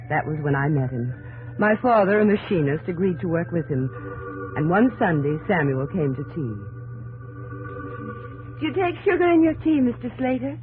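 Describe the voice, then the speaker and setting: An actress playing a gentle young New England woman who tells her story earnestly and with measured delivery in a 1950s radio drama. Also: fairly low pitch, poor audio. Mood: gentle concern. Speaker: narrator and actress.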